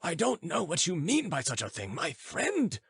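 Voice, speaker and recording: An indignant old philosopher as an audiobook narrator, a man, plays him, lofty and formal. His pitch is raised and swings widely as he declaims. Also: wildly swooping pitch, normal speed, vocal fry, fairly bright tone, husky, dry recording, studio quality, exaggerated voice. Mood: reproachful, lofty. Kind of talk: audiobook character dialogue.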